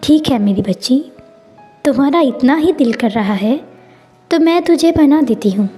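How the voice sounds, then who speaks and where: A woman voicing a gentle, poor mother and wife in a story, with a husky voice. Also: high voice, lively intonation, moderate pace, faint music behind. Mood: tender.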